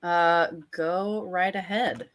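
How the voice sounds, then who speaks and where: Playful low-voiced woman running a tabletop game. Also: fairly even intonation, slow pace. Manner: playful, with dramatic descriptive asides. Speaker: game master. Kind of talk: improvised tabletop game narration.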